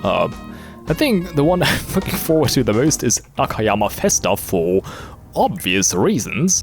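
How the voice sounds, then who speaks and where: A young man in his late teens whose native language is German speaks in a fairly low but very animated voice. He rambles casually through a gaming Q&A, cursing freely and putting himself down. Music plays behind him. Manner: foul-mouthed, self-deprecating. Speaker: gaming video creator. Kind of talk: casual rambling Q&A commentary over gameplay.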